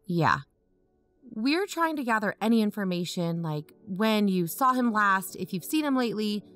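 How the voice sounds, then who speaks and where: A woman's voice: medium pitch, animated, moderate pace, fairly bright tone, no room sound, soft background music, close to an everyday voice. A woman playing an earnest young amateur investigator and podcaster, probing in a natural, matter-of-fact way. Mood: concerned, probing. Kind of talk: audio drama narration and natural phone dialogue.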